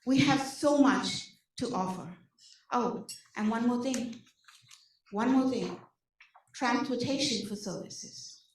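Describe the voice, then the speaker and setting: A woman with an Indian accent gives an animated talk to a room of service providers, full of rhetorical questions and frequent "okay?" check-ins. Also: fairly high pitch, takes it slowly, slight background noise. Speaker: nonprofit program presenter. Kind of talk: energetic public talk to an audience. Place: large meeting room. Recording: echoey hall, speaker far from mic.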